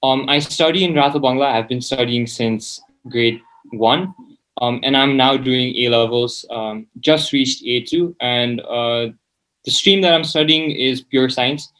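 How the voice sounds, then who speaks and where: A man's voice: medium pitch, unhurried, fairly bright tone, poor audio. A seventeen-year-old man, chatting casually as an interview guest in a hesitant, informal way, often saying "like". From Nepal. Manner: hesitant, informal, peppers speech with "like". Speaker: student guest.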